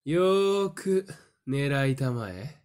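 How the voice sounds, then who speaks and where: A woman acting in an audio drama as a calm, smiling, taunting werewolf in human guise, with a theatrical, teasing delivery. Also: extremely low pitch, very slow, exaggerated voice.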